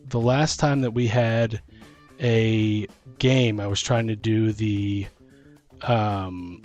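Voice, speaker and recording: Man, medium pitch, casual podcast host, halting and rambling, faint guitar behind. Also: a little mellow. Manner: rambling, hesitant, thinking aloud. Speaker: podcast host and game master. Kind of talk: casual chat about tabletop role-playing.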